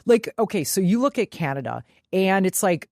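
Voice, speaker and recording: A woman's voice: medium pitch, big pitch swings, moderate pace, darkish tone, no room sound, studio quality. A woman co-hosting a podcast in casual sports chat, opinionated and emphatic, swearing freely. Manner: freely profane. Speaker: podcast co-host.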